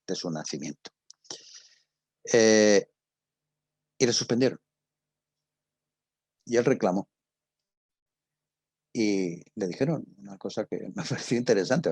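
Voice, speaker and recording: Deep, husky older Castilian Spanish man. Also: a little slow, pauses often, darkish tone, no room sound. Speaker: radio drama teacher and guest speaker. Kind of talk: informal talk answering student questions.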